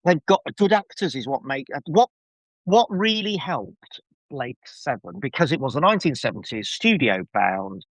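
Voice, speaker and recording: A man speaking as a podcast guest in casual discussion, halting and repeating words as he builds his point. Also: medium pitch, sing-song, a bit bright, no echo.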